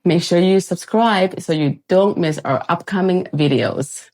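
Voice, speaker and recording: A woman in her forties, co-founder of a renovation company, speaking in an upbeat, clear way. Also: fairly low pitch, unhurried, a little mellow. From born in Thailand, raised in Canada. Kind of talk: scripted channel introduction.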